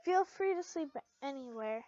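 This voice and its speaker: A woman's voice: high voice, lively intonation, normal speed, a little mellow, no room sound, muffled recording. A woman voicing a shy, awkward young man who is smitten with his friend, hesitant, in an amateur story reading. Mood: resigned.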